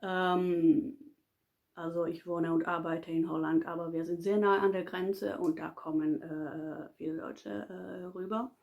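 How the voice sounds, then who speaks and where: A woman's voice: fairly low pitch, flat delivery, a little slow, gravelly, a little mellow. A woman with a Finnish accent, a native Finnish speaker, talking casually off the cuff as a polyglot vlogger, hesitant and searching for words as she goes.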